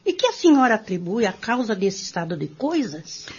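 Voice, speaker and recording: A woman playing a concerned, inquisitive lady friend in a radio drama, with a husky voice. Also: mid-range voice, sing-song, moderate pace, a little mellow. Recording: dull lo-fi radio play recording.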